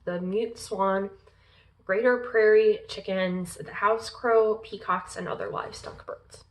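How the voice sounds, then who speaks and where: A woman vlogger reading a long list aloud, pausing often with ums and uhs and stumbling over unfamiliar names. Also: mid-range voice, fairly even intonation, rough recording. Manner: slow, careful reading pace. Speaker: pagan lifestyle vlogger. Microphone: laptop in front of her.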